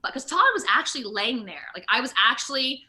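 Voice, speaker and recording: A woman giving a casual interview as a guest actor, expressive and giggly, acting out her anecdotes dramatically. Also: sits high, a little fast, a crowd in the background. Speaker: interview guest, video game voice and motion capture actor. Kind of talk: casual animated interview chat.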